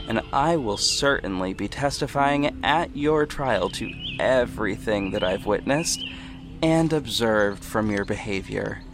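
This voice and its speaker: A man playing a protective, self-assured ex-cop detective with a measured, firm delivery in an audio drama. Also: mid-range voice. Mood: smug, stern. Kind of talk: first-person audio drama narration and dialogue. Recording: music bed behind the voice. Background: music.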